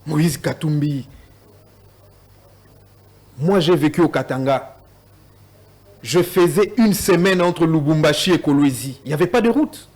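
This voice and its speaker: A man with a Congolese French accent, a guest in a TV political debate, mixing French with Lingala and stumbling over repeated words. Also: high voice.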